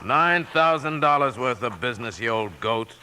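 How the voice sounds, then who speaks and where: An actor playing a menacing, mocking masked outlaw in an old Western radio drama. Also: male voice, mid-range voice, lively intonation, moderate pace, sharp tone, a little hiss or hum. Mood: threatening. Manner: threatening, slangy, mocking. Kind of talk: 1950s Western radio acting.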